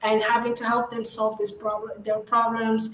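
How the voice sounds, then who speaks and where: A woman's voice: a little high, monotone, a little fast, fairly bright tone. A young woman from Morocco, whose first languages are Arabic and French, telling her story candidly as a podcast guest, with many fillers and restarts. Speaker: guest entrepreneur. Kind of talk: podcast interview. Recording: roomy studio echo with faint ambience.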